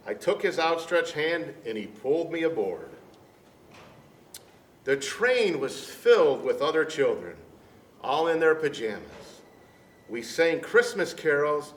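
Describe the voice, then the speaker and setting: Slow, expressive male storybook reader. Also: a little high. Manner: deliberate phrasing with many pauses. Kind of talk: scripted storybook narration for children. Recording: echoey room, distant mic, background noise.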